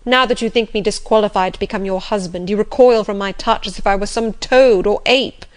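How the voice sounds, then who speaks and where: A woman reader takes on a brooding master of the house in a classic novel. The gentleman speaks with passion and urgency. His manner is imperious and domineering. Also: mid-range voice, moderate pace, lightly acted. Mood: wounded, bitter. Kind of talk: audiobook character reading of classic novel.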